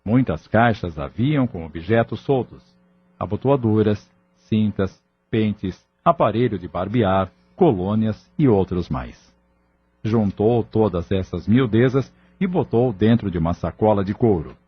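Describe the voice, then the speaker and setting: A man narrating a scripted audio novel in a measured, dramatic storytelling manner. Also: fairly low pitch, lively intonation. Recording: muffled, lo-fi track with music. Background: clear background music.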